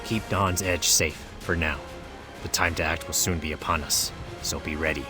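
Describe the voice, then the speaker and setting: An actor playing a solemn mystic mentor in a fantasy video game, speaking in a measured, formal way. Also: male voice, very deep voice, fairly even intonation. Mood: solemn, grave. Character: solemn male mystic mentor guiding a warrior. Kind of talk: scripted game dialogue. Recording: loud music behind. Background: game music.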